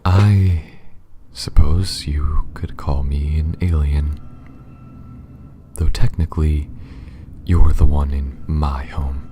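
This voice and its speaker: A man playing a gentle, apologetic alien pilot in an ASMR audio roleplay, speaking softly, often near a whisper, in a careful, halting, formal and reassuring way. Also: background noise, full character voice. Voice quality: soft, very low, breathy, often near whisper. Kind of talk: ASMR audio roleplay, scripted monologue addressed to listener.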